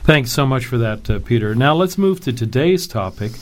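A man hosting a Bible discussion program, reading a scripted opening and then interviewing a guest in an earnest, measured tone. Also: medium pitch, lively intonation. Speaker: radio Bible program host.

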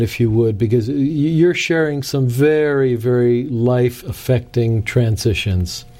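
A male interviewer with a mellow, warm tone, speaking in an engaged conversational way. He restates his guest's points and then asks thoughtful follow-up questions. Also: medium pitch. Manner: engaged, summarizing, probing questions.

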